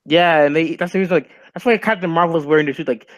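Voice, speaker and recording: A man's voice: fairly high pitch, steady tone, fast talker, no room sound. A young man chatting casually and with strong opinions as a stream co-host, full of "like" fillers. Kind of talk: casual chat over a call.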